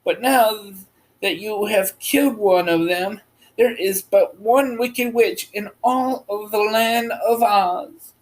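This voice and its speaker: A woman's voice: medium pitch, slow, pitched above the performer's own voice, lightly acted, poor audio. A woman voicing a kindly, grateful little old good witch in a storybook read-aloud. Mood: reassuring, earnest.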